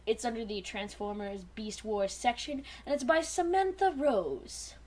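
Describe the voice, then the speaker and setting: A woman's voice: on the high side, animated, measured pace, a little mellow. A young woman co-hosting a fan fiction show, chatting casually with friends in a joking, opinionated way. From Alaska, USA.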